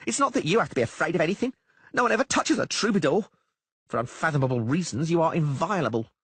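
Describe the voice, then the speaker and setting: A wry halfling trader with a raised, husky voice, voiced by a male narrator. He speaks bluntly and briskly in an audiobook. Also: on the high side, expressive, a bit bright, no echo, voice pushed up, heavily acted. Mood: snappish. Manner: brisk, snappy.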